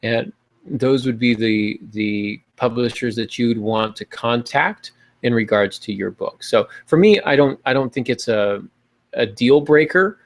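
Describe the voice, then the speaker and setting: A man's voice: medium pitch, measured pace. A man hosting a podcast, talking casually and explaining things in an informal, conversational way. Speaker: podcast host.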